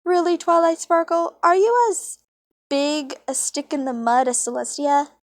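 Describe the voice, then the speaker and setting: A woman's voice: high-pitched, a little slow, voice pushed up. A young woman voicing a mocking, theatrical male chaos creature from a cartoon fan story. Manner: mocking, mock-innocent, theatrical. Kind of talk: amateur read-aloud of cartoon fan fiction.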